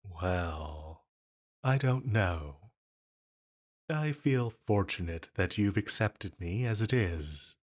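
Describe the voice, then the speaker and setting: Slow, low-voiced ancient villain played by a man. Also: lively intonation, exaggerated voice. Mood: hesitant, vulnerable. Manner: flirtatious, hesitant, unhurried musing. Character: ancient banished villain entity, flirty, lonely, self-conscious. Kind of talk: intimate scripted roleplay audio.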